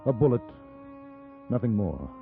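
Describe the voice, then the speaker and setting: A muffled vintage radio recording with faint music carries a gentleman adventurer. His voice is fairly low and measured. He is reserved and resolute, clipped in the manner of 1950s western drama. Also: big pitch swings. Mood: fatalistic narration. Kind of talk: 1950s radio western acting with first-person narration.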